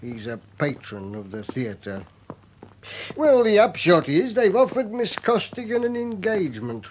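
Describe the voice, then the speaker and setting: An actor playing an odd, hunched older theatre violinist, wry and knowing, in a period radio drama. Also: male voice, high-pitched, very animated, unhurried, a little hiss or hum. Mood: wry, gossipy.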